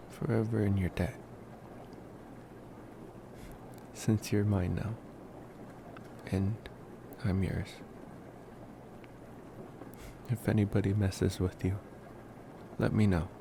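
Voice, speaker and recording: A man voicing a devoted young college vampire in an intimate ASMR roleplay, musing drowsily and unhurriedly with trailing pauses in a creaky voice. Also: lowish voice, level tone, background noise. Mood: protective, devoted. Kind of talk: intimate ASMR roleplay, slow rambling toward sleep.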